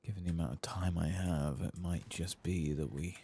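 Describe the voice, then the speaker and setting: A soft-spoken, hushed man giving unhurried, understated ASMR commentary over a video game. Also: deep voice, steady tone, breathy edge. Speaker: host of a gaming playthrough channel. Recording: faint background noise.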